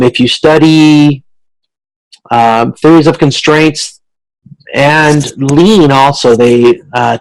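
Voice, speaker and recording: A male instructor delivers an informal online-style lecture in a creaky, gravelly voice. His pitch sits in an ordinary middle range. He explains step by step in a halting way, often restating and correcting his phrasing. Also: low-quality recording. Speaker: college course instructor.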